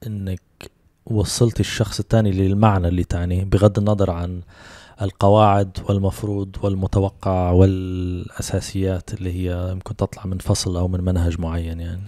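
A man in his thirties with a Libyan Arabic accent hosts a podcast, talking in a relaxed, storytelling way. His voice sits low and mellow. He speaks close to a handheld mic in a dry, dead-sounding room. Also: no room sound. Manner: relaxed storytelling with quick asides. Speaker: podcast host. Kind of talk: casual conversation. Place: small home room with soft lighting. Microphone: handheld mic with headphones.

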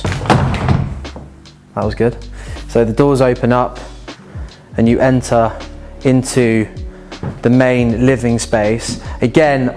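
A man's voice: medium pitch, a little mellow. A young man with an upbeat, enthusiastic manner, casually showing viewers around a large house as he walks from room to room. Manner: upbeat, enthusiastic, conversational while walking. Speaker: house tour host. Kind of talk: casual walking house tour. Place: large house, indoors and garden.

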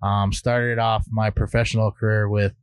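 A man in his forties, a head brewer, answering questions in a soft-spoken, hesitant way with many ums. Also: fairly low pitch, level tone, moderate pace, clean tone, dry recording. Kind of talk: interview answers. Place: brewery floor. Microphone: studio microphone on arm.

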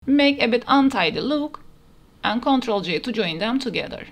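A woman's voice: on the high side, lively intonation, normal speed. A woman narrating a step-by-step 3D modeling tutorial in plain, instructional phrasing, recorded as a voice-over. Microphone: voice-over over screen recording.

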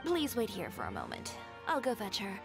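A woman's voice: medium pitch, huge pitch swings, normal speed. A woman voicing a composed young fashion designer in a game, speaking matter-of-factly. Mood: calm. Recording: game audio with clear music.

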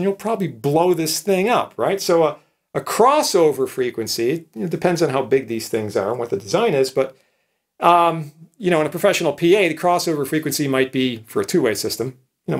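A man in his sixties, a professor explaining things informally, in a conversational way with frequent checking tag questions. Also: a little high. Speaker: professor, lecturer. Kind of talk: informal explanatory electronics lecture.

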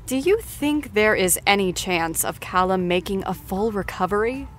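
A woman playing a gushing, excitable TV after-show host who interviews a star in an effusive manner. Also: mid-range voice, moderate pace, faint music behind, natural voice. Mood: dramatic, fascinated. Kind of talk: scripted celebrity interview show.

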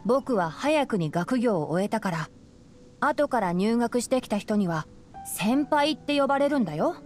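A woman voicing a youthful, analytical male scholar in an anime game, calm, boyish and matter-of-fact. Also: fairly high pitch, moderate pace, soft background music, lightly acted. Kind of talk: anime game voice acting, explanatory dialogue.

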